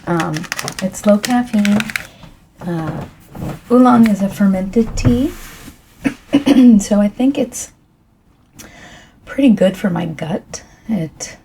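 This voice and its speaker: Slow, soft-spoken croaky woman. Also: medium pitch, animated, a little hiss or hum, rough recording. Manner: rambling, soft and unhurried with fillers. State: croaky, frequently clearing throat. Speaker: ASMR tea reviewer. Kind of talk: soft-spoken ASMR tea tasting.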